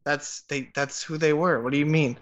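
A man co-hosting a casual sports podcast, loud and a bit boastful, laughing often. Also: fairly high pitch, steady tone, moderate pace, muffled recording. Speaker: podcast co-host.

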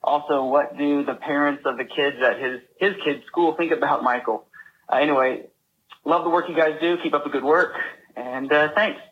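A man from Texas with a husky voice leaving a casual voicemail as a caller, on a dull lo-fi recording. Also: a little high, moderate pace. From Texas, United States.

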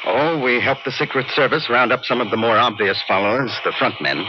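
An actor playing an earnest, serious newspaper editor in a 1950s radio drama, speaking emphatically. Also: male voice, mid-range voice, brisk, natural voice. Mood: grave. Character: earnest male newspaper editor, dead publisher's right-hand man. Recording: muffled, noisy old radio recording.

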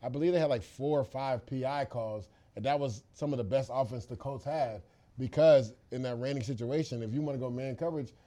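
A man's voice: mid-range voice, a little fast, warm tone. A man co-hosting a football podcast, giving emphatic, opinionated analysis in a casual, conversational way.

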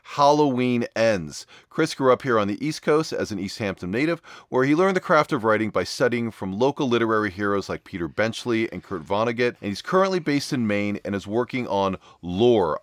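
A man's voice: on the low side, dry recording, clean studio sound. A man hosting a podcast, delivering scripted introductions. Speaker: podcast host.

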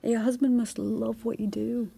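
A woman's voice: a little high, flat delivery, normal speed, gravelly, warm tone, slightly rough, exaggerated voice. A woman playing a candid, playful wife in a naturalistic audio drama, casual and confiding. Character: candid, playful married woman, satisfied client.

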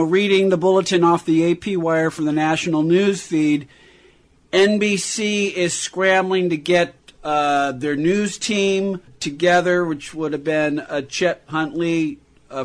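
A man's voice: sits high, fairly even intonation, slow pace, fairly bright tone. A man hosting a conversational interview, guiding it along and checking facts as he goes. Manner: guiding, checks facts with short tag questions. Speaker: interview host.

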